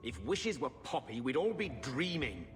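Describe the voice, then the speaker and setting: An actor as a bitter uncle, reverberant. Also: male voice, medium pitch, very animated, normal speed, a little hiss or hum, natural voice. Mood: grumbling. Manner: gruff, dismissive. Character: bitter, grumbling middle-aged uncle fallen on hard times. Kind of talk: video game voice acting.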